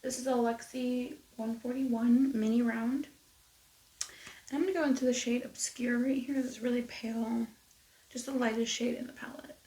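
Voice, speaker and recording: A woman's voice: a little high, flat delivery, normal speed, reverberant, low-quality recording. Chatty woman losing her voice. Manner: chatty and rambling, soft near-whispered asides. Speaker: beauty vlogger. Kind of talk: casual get-ready-with-me makeup chat. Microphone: close mic at desk.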